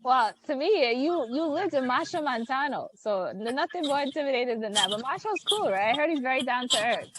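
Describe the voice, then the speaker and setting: A high-voiced woman hosting a podcast over a call, effusive and laughing easily. A faint hiss sits behind her voice. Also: lively intonation, fairly quick, hardly any pauses, fairly bright tone. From United States. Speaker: podcast host. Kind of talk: casual interview chat.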